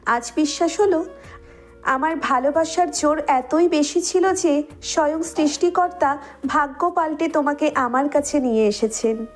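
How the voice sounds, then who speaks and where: A woman's voice: high voice, unhurried, soft background music. A woman voicing an impassioned, reproachful young bride in love in a romantic audio drama. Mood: proud, triumphant.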